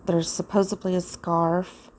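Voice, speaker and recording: A woman's voice: fairly low pitch, little pitch movement, a little slow, darkish tone, close to an everyday voice. A woman playing an earnest, suspicious private investigator in an old-time radio style drama. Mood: measured, descriptive. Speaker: investigator in an amateur audio drama.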